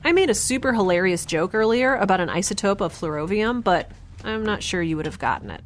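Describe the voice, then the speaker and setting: A woman playing a chatty, nerdy, stubborn young scientist in an audio drama, rambling and halting over clear background noise. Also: medium pitch, lively intonation, natural voice. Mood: flustered, upbeat. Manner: rambling, halting, talking to herself. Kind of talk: audio drama monologue and dialogue.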